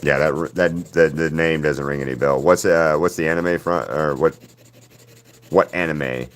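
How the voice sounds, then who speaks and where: Deep-voiced man chatting on a livestream. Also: steady tone, normal speed, slight background noise. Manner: rambling, answers viewers' chat by name. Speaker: artist hosting a livestream. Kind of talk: casual livestream chat while painting.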